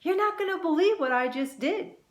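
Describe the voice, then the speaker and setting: A woman voicing an excitable young boy in a children's story, emphatic and earnest. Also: very high-pitched, fairly quick, voice pushed up. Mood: eager. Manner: emphatic, earnest, loud when riled. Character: excitable young boy, argumentative but eager to be kind. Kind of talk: acted children's storybook dialogue. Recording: roomy, echoing sound.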